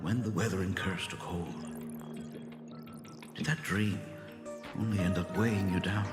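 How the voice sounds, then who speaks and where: A man playing a gentle, wistful aging father in a video game, speaking fondly and formally with frequent sighs. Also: low-pitched, steady tone. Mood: tender. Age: older. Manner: sighing, unhurried, fond and formal. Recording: roomy echo, music behind. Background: music.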